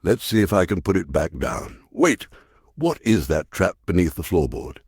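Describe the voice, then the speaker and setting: A man plays a retired war correspondent in an audio drama, older and worn down by life. His voice sits low, in a dry recording with no room around it. He thinks aloud with wry, muttered resignation. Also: sing-song, normal speed, a little mellow, husky, no echo, exaggerated voice. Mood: curious, troubled. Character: world-weary retired war correspondent starting over alone.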